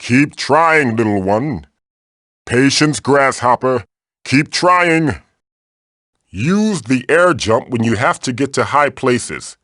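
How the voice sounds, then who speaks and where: A man's voice: medium pitch, expressive, takes it slowly, a bit bright, dry recording, studio quality. A man voicing a magical floating tiki mask in a video game, a fatherly guide who is theatrical, encouraging and wry. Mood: patient, encouraging. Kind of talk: video game character voice lines, tutorials and quips.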